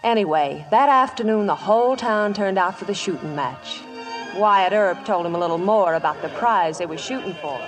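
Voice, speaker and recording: A plainspoken, spirited young frontier dance hall girl, played by a radio actress with an American accent. Her voice sits at a medium pitch with clear music behind it, in dramatic old-time radio style. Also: expressive, normal speed, lightly acted. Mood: wry narrating.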